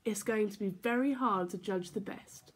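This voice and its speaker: A woman voicing a kindly, encouraging old teddy bear in a storybook, gentle and expressive. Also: medium pitch, moderate pace, husky, close to an everyday voice. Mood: patient.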